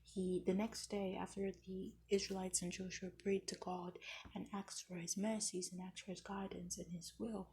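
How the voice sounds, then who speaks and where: A young woman with a low, husky voice edged with gravel talks through a Bible chapter in a soft, near-whispered hush. Her pitch barely moves as she chats casually. It comes across as a plain, low-quality home recording. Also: moderate pace. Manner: soft, half-whispered, flat delivery with fillers. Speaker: host of a daily Bible chapter series. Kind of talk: casual devotional Bible reading and reflection.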